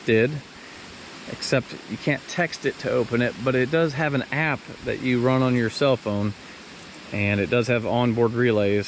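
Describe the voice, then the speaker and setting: A man's voice: medium pitch, rough recording. A man giving a casual, plainspoken hands-on walkthrough, explaining things step by step as he reviews and installs a product. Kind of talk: casual hands-on how-to narration. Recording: clear background noise, ambient sound.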